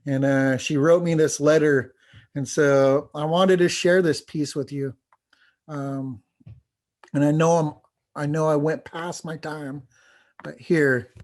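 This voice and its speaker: A man with a somewhat high voice gives a panel presentation as a language teacher. His delivery is earnest and informal, with a halting flow as he works through his points. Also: fairly even intonation. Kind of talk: presentation with testimonials read aloud.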